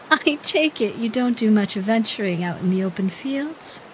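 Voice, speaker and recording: A woman's voice: mid-range voice, expressive, normal speed, a little hiss or hum, lightly acted, low-quality recording. A woman playing a playful, teasing young female companion in a fantasy audio drama, with a breezy manner. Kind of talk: fan audiodrama acting.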